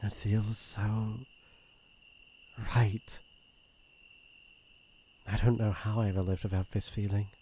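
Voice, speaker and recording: Man acting as a slow, tender, shy British prince. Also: on the low side, level tone, slight background noise. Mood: tender, wistful. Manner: soft, slow, hesitant, intimate. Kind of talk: romantic ASMR roleplay.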